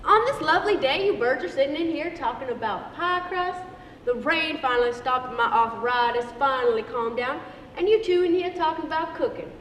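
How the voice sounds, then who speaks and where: A woman performs a sassy, boastful old lady with a Southern drawl and very high pitch. She teases in a folksy stage manner. The sound is distant in a reverberant hall. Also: expressive, moderate pace, a bit bright. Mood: exasperated. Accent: American Southern. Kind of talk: amateur stage play reading. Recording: echoey hall, distant mic, faint noise.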